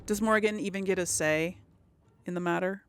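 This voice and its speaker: A woman's voice: lowish voice, fairly even intonation, brisk, smooth. A woman hosting a let's play, commenting casually on a game and hesitantly thinking aloud.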